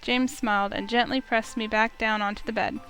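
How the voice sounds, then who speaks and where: A woman reading a visual novel aloud as an expressive first-person story, with music underneath. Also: a little high, a little fast.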